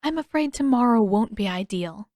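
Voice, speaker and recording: A woman voicing a gentle, wistful young princess in a storybook reading, speaking politely in measured, formal phrases. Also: on the high side, expressive, no echo, clean studio sound, lightly acted. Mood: reserved, regretful.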